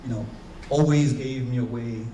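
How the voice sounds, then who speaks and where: A man's voice: mid-range voice, steady tone, moderate pace, warm tone, slight background noise. Earnest man with a Haitian accent. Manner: earnest, searching, many fillers and restarts. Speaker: panelist. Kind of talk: reflective personal talk on a panel. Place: conference room. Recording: echoey room, voice from far off.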